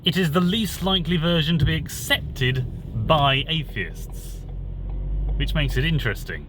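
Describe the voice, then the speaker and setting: Man, lively swooping intonation, informal storytelling, thinking aloud, self-correcting, clear rumbling background noise. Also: measured pace. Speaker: solo commentator on Bible and fairy tales. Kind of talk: informal spoken musing and story retelling.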